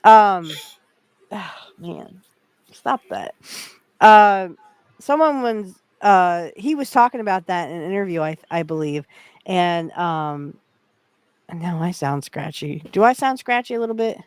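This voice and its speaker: Giggly woman with a smooth dry voice. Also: lowish voice, animated, measured pace, long pauses, no room sound. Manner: giggly, chatty. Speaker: podcast co-host. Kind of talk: casual chat about wrestling.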